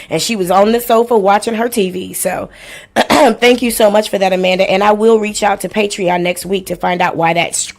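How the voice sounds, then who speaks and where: Blunt, playful woman in her forties livestreaming. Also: medium pitch. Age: 40s. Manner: blunt, playful, profane, slangy. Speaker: livestream host. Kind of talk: casual livestream chat with house rules and shout-outs.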